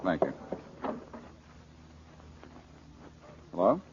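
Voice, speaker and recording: An actor playing a cocky, wisecracking detective in a 1940s radio drama, with flip, unruffled banter. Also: male voice, deep voice, big pitch swings, fast, slight background noise. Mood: cool, dismissive. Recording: muffled vintage radio, background crowd.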